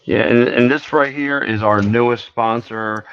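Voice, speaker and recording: A man co-hosting a podcast, reading a sponsor shout-out in a laid-back way and saying "man" often. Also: medium pitch, steady tone, unhurried, clear, resonant tone, no echo. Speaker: podcast co-host. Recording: dull lo-fi sound.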